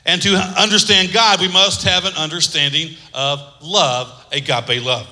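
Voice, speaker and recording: Bright-voiced preaching man in a hall. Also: on the high side, fairly even intonation, measured pace. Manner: preaching with call-and-response and humor. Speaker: pastor. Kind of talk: sermon.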